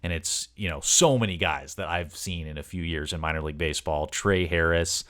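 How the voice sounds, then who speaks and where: Deep-voiced man hosting a sports interview. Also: fairly quick, fairly bright tone. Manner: wordy, long multi-part questions. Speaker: podcast host and interviewer.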